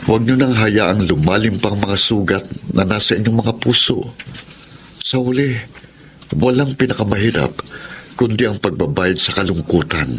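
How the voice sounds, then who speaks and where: A man's voice: fairly low pitch, moderate pace, a bit bright, slight background noise, close to an everyday voice. A man playing a concerned younger brother who gives earnest counsel in a Filipino radio drama. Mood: earnest pleading.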